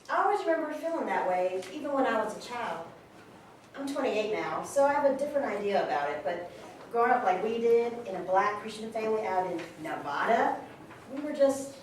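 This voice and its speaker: An animated young woman, an actress playing a fond sister in a stage monologue. Her high, lively voice tells the story informally, from far off in a very echoey room. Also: close to an everyday voice. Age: 20s. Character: twenty-eight-year-old sister fondly recalling her brother. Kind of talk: conversational monologue in a staged reading. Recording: very echoey room, distant mic, noisy.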